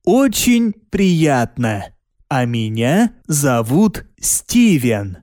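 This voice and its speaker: A man reading a language lesson aloud, pronouncing each word deliberately and clearly. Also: medium pitch, big pitch swings, darkish tone, no room sound, clean studio sound. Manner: carefully spaced, deliberate pronunciation. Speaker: language teacher.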